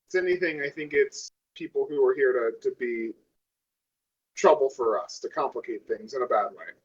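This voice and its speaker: A man's voice: medium pitch, moderate pace, slightly rough, muffled recording. A man talking casually as a player in a tabletop role-playing game.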